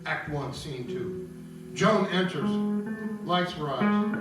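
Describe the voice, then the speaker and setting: A man's voice: a little high, slow. A man narrating a scripted stage piece, reading out scenes and stage directions. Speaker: narrator and reader at an opera table read. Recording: roomy echo, distant mic, loud guitar. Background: loud plucked guitar music.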